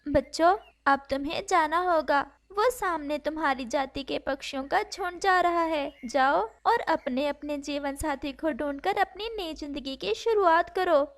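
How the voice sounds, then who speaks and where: A woman voicing a kind, caring female sparrow in a cartoon story, with a gentle, earnest, motherly manner. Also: high voice, a little slow, hardly any pauses. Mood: tender, encouraging. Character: kind, caring female sparrow who adopts orphaned chicks. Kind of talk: Hindi cartoon story acting.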